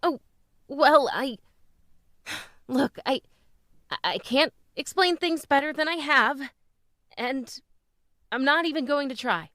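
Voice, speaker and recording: An earnest, easily flustered young mother played by a woman in an old-time radio play. The voice is fairly high and expressive, with halting, unhurried delivery and a dry, close sound. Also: dry recording, full character voice. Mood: flustered, defensive.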